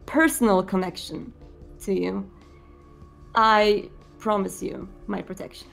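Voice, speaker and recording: A woman plays a commanding vampire prince in a tabletop roleplay, protective yet menacing. The speech is measured and formal, with a creaky edge, over faint background music. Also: mid-range voice, fairly even intonation. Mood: solemn, firm.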